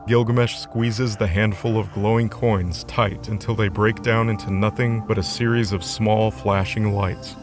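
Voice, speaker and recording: A man's voice: on the low side, fairly even intonation, a little slow, few pauses. A man narrating a scripted fantasy story as the narrator of an audio drama. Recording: music under the voice.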